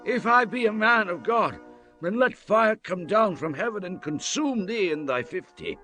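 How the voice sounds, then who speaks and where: An actor playing a stern prophet of God in a dramatized scripture reading, solemn and declamatory in archaic phrasing. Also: male voice, sits high, animated, normal speed, pushed and bright, faint music behind. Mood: commanding, stern.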